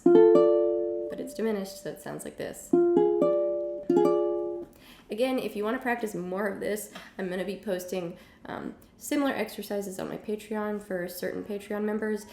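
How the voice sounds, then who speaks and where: A young woman teaching a casual music lesson at home, hoarse from illness, chatty with many ums and the odd cough. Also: mid-range voice, reverberant. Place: home room with piano and guitars.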